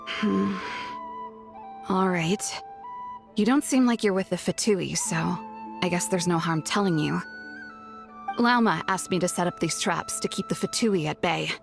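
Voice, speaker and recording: A woman's voice: on the low side, a little slow, creaky, long pauses, over music. A woman playing a guarded, conflicted young female scout in a video game cutscene, with a hesitant manner. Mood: wary, casual.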